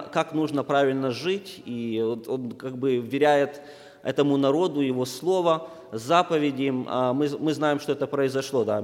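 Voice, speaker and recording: A man with a slightly hoarse voice from a cold, leading an informal Bible study in a halting way, with many restarts and filler sounds. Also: medium pitch, big-room sound.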